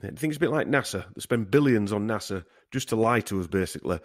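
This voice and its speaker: Low-pitched man chatting casually. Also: animated, fast talker, dry recording, clean studio sound. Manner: rambling, ends points with tag questions. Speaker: podcast host. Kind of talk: casual opinionated chat.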